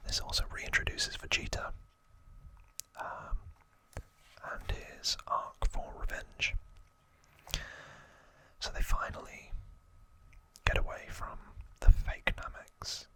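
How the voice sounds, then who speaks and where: A man whispering an ASMR recap in a breathy, unvoiced voice, explaining casually and haltingly as host. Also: bright, forceful tone.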